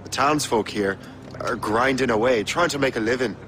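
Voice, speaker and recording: An actor playing a blunt, determined young sailor hero in a video game. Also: male voice, mid-range voice, normal speed, natural voice. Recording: game audio with music behind.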